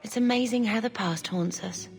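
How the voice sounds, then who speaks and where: A woman's voice: mid-range voice, moderate pace, gravelly, natural voice. Woman as a wistful young sister mage. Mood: reflective. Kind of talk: fantasy game cutscene acting. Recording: hall reverb, music behind.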